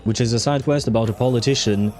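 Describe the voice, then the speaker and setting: A man hosting a casual livestream, talking in a rambling, halting way as he thinks aloud. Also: medium pitch, a little mellow. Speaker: livestream host. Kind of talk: casual livestream chat over a game. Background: faint music.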